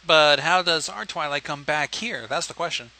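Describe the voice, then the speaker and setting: Very bright-voiced man, casual co-host. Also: a little high, normal speed. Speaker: co-host commenting on the story. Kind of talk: casual chat, joking asides.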